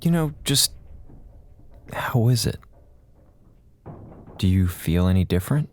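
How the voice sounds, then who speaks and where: Slow-talking, lively spaceship wisecracker. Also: male voice, sits low. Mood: awkward, probing. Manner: informal, hedging, glib. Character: flippant, impulsive male spaceship crewman, defensive wisecracker. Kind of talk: audio drama acting.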